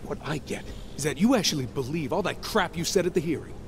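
A man's voice: fairly high pitch, animated, quick pace, a little hiss or hum. A man playing a bitter, guilt-ridden middle-aged scientist, intense and accusatory, in a video game. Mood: accusing. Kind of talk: cinematic video game acting.

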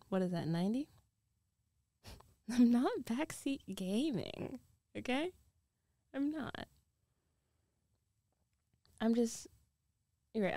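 An older, dominant girlfriend acted by a woman in an intimate ASMR roleplay. She speaks slowly in a soft, dark voice with gravelly fry at the edges, playful and teasing, often sinking toward a whisper. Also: medium pitch, no echo, studio quality, exaggerated voice. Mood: playful, mocking. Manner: teasing, giggly, slow, often dropping to a whisper.

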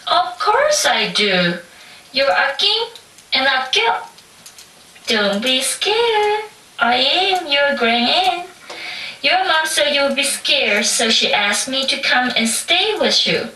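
A woman's voice: sits high, big pitch swings, bright, forceful tone, exaggerated voice. A woman voicing a boastful, hungry tiger posing as a grand aunt in a theatrical puppet show. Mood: wheedling. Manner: theatrical, slow and wheedling. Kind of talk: puppet show stage acting. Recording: echoey hall from far off, faint noise.

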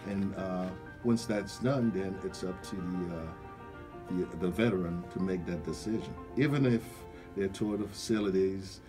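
A man answering questions as a radio interview guest, speaking in halting, hesitant phrases. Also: sits low, music in the background. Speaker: guest, veterans program representative. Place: small radio studio. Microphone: studio microphone.

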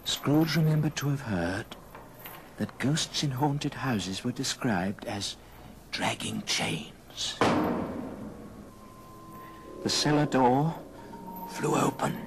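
A man narrating a story dramatically, with deliberate pauses, in old radio drama style. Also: medium pitch, lively intonation, noisy background.

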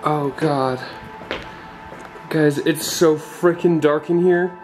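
A young man, the vlogger's brother, chatting nervously and wisecracking in a dark bunker. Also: fairly high pitch, steady tone, slow pace, soft background music, rough recording. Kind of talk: casual chat.

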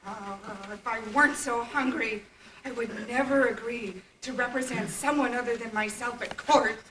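A woman's voice: on the high side, fairly bright tone, natural voice. A woman voicing a large, hungry, self-pitying tiger with theatrical growls in an amateur puppet play. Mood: grumbling. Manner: plaintive, slow, theatrical growls. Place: indoor puppet stage, far camera. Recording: distant, echoey, noisy old camcorder tape.

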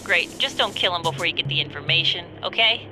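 A woman playing a brisk, wry mission-support agent in a video game. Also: fairly low pitch, lightly acted. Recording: faint music behind.

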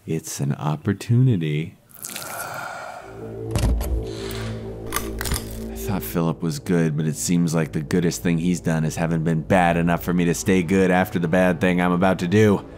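An actor playing an edgy, resentful hotel worker in an audio drama, with a blunt, awkward, brooding way of talking. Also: male voice, sits low, steady tone, brisk, hardly any pauses, over music, natural voice. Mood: dark, brooding.

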